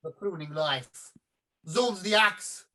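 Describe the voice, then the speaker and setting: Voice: medium pitch, slow pace, pushed and bright. A performer playing a vain, fussy playwright who is indignant and self-satisfied, in a satirical stage comedy. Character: fussy, vain, exasperated playwright overseeing a rehearsal.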